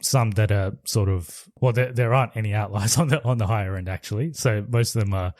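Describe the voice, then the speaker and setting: A man's voice: on the low side, fairly quick, a little mellow, no echo, studio quality. A man co-hosting a podcast in casual chat, explaining things step by step with hesitant restarts. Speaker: podcast co-host.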